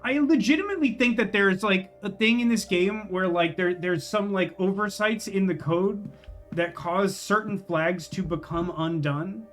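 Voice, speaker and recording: Guy streaming a game w a pretty high voice, rambly, talking to himself, music behind him. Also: moderate pace. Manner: rambling, musing, self-questioning. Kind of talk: casual live gameplay commentary, thinking aloud.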